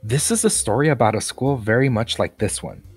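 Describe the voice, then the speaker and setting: A man reading aloud, voicing a calm, mild male art teacher telling a story in a children's storybook. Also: mid-range voice, a little fast, breathy edge, faint music behind.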